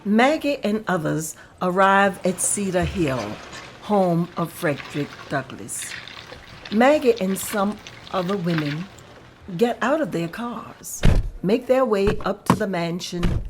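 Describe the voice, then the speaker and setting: A woman reading stage directions aloud at a table read in a slow, measured way. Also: mid-range voice, animated, background noise.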